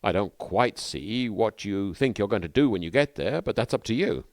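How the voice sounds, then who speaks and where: A man's voice: fairly low pitch, expressive, normal speed, no room sound, studio quality. A man voicing a melancholy young New York newspaperman who talks in a wordy, dryly ironic way. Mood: cautious, dry.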